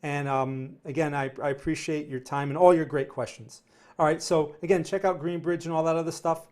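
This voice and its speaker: A man's voice: a little high, steady tone, brisk, a little mellow. New Jersey man hosting a live stream. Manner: rambling, repeats words, frequent "right?" tags. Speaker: trading stream host. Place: home studio near New York City.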